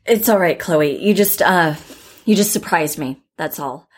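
A composed female therapist in an audio drama, her voice a little low. She speaks with measured care and probes gently with questions, keeping a professional manner. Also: slightly rough. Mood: flustered. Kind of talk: naturalistic audio drama acting.

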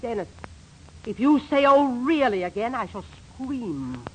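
A woman's voice: on the high side, very animated, a little slow. A woman playing a domineering middle-aged wife and mother in 1940s radio comedy, stern, formal and indignant. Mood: indignant, bossy. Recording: faintly noisy 1940s radio recording.

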